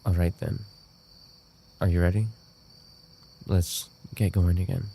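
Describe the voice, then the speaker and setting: Guy doing a dark devoted yandere boyfriend, deep croaky voice, halting n brooding, faint crickets behind. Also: level tone, slight background noise, heavily acted. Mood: wistful, tender. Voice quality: low, gravelly. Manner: intimate, halting, brooding delivery. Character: obsessive male yandere roommate, soft-spoken, darkly devoted, unstable. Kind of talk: boyfriend ASMR audio roleplay.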